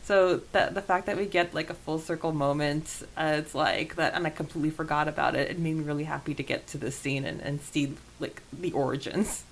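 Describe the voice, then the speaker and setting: A woman's voice: sits low, fairly even intonation, a little fast, few pauses, a little hiss or hum, poor audio. A woman co-hosting a podcast, talking through a recap in a casual, conversational way. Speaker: podcast co-host.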